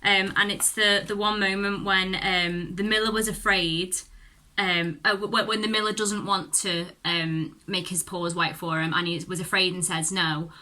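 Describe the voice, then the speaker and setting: A woman's voice: lowish voice, little pitch movement, moderate pace, pushed and bright. A woman talking casually and reflectively to viewers, hesitant as she thinks aloud. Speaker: performer reflecting on a story.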